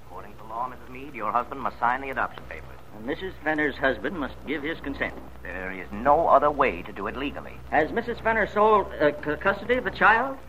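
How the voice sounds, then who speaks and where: Brisk, sharp lawyer, old radio. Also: male voice, medium pitch, sing-song, a little hiss or hum, low-quality recording. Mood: formal refusal. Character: brisk, discouraging male lawyers. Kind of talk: 1940s radio drama acting.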